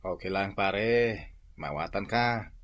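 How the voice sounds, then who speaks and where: A man's voice: fairly low pitch, slow, fairly bright tone. A man playing a loyal, slightly boastful young husband in a comedy radio drama, bantering conversationally. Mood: defensive, proud.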